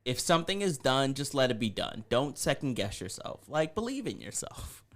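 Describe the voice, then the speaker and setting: A man's voice: mid-range voice, very animated, normal speed, fairly bright tone. A man co-hosting a podcast in casual banter, teasing and sarcastic. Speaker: podcast co-host.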